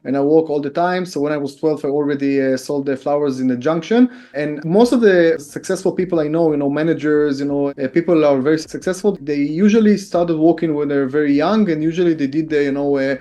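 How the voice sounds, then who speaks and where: A man's voice: fairly high pitch, fairly quick, hardly any pauses, dark tone. A man in his forties from Israel, speaking English with an Israeli accent as a guest in an interview, earnest and full of fillers and repeated phrases. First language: Hebrew. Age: 40s. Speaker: guest author and business consultant. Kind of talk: casual interview talk.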